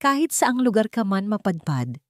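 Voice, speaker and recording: A woman's voice: mid-range voice, big pitch swings, moderate pace, no echo, studio quality, voice pushed up, lightly acted. Woman acting a firm, loyal soldier nanny. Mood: firm, solemn. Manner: clear, firm, disciplined. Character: stern, loyal female retired master sergeant turned nanny.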